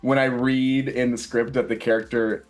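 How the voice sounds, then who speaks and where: A man, an actor, talking casually in an interview with an animated, playful, enthusiastic manner. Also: mid-range voice, normal speed, over music. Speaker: stage actor being interviewed.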